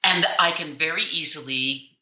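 A woman with a low speaking voice, coaching on public speaking in an interview, emphatic and deliberate, with purposeful pauses and shifts in tone. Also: echoey room, crowd noise, poor audio. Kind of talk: interview answers, instructive.

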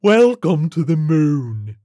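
A man's voice: fairly high pitch, very animated, slow pace, dark tone, dry recording, studio quality, voice pushed up. A man voicing a huge tentacled alien in a story, brash, amused and breezily rude, with a folksy, profane manner. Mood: amused, breezy.

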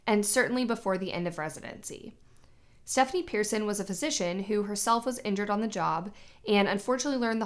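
A young woman with a hoarse voice from a cold, hosting and explaining a medical teaching podcast. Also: mid-range voice, a bit bright. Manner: clear explanatory delivery. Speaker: podcast host.

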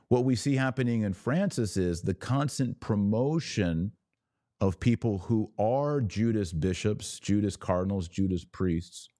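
Low, mellow-voiced man hosting religious commentary. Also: a little slow, dry recording, clean studio sound. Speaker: podcast host.

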